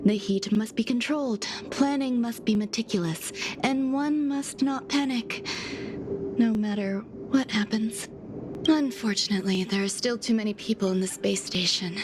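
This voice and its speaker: A woman playing a serene, aloof genius scientist in a video game, speaking in a measured, formal, gently detached way. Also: a little high, noisy background, close to an everyday voice. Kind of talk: video game character acting. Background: music.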